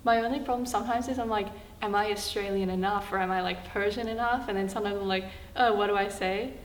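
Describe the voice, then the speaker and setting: A woman's voice: mid-range voice, steady tone, fast talker. A teenage girl with an American accent, talking in a chatty way with many fillers in a documentary interview. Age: teens. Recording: echoey room with faint hum.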